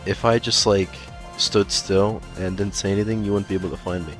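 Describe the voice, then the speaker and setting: A man's voice: on the low side, steady tone, a little fast, soft background music, lightly acted. A man voicing a teasing, slightly clueless warrior cat who casually reads out questions in an improvised fan Q&A. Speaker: question reader and sidekick. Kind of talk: improvised character Q&A in casual chat.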